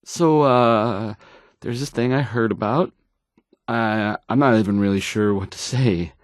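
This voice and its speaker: A man's voice: fairly low pitch, no echo, clean studio sound, lightly acted. An actor playing an awkward, insecure male radio DJ in a video game, stammering and hesitating through his news links. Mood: anxious, unsure. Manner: halting, stammering, hesitant, awkward, self-doubting. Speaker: radio DJ character.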